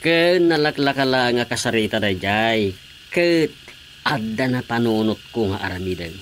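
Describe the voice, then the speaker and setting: An actor playing a sly, scheming village cockfight gambler in an Ilocano comedy radio drama, with an Ilocano accent. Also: male voice, medium pitch, animated, normal speed, a bit bright, slight background noise, close to an everyday voice. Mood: reassuring, scheming.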